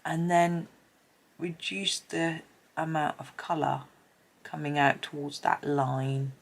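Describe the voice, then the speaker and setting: A woman's voice: low-pitched, completely flat delivery, slow pace, gravelly. A middle-aged British woman with a stuffy, blocked nose, chatting casually and rambling with many ums while she colours. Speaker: colouring channel host.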